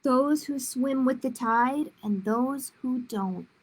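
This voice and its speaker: Slow, higher-pitched woman reading aloud. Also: breathy edge. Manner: unhurried, simple read-aloud phrasing with casual asides. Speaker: children's librarian, storytime host. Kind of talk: reading picture books aloud to children.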